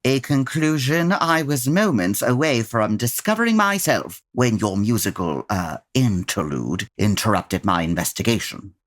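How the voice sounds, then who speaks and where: British male detective, very low register, proud, clipped, formal, animated radio comedy acting. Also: unhurried, hardly any pauses, no room sound, clean studio sound, full character voice. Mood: dry, self-assured. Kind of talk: comic old-time radio drama acting.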